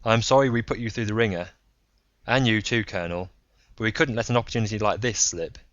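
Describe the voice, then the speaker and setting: A man voicing a calm, candid male agent in an audiobook story, measured and explanatory. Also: fairly low pitch, dry recording, muffled recording, natural voice. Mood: apologetic.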